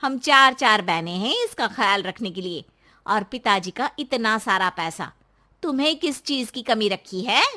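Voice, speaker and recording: A woman's voice: a little high, lively intonation, measured pace, sharp tone. Woman acting a haughty, taunting sister-in-law. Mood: mocking. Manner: taunting, scornful.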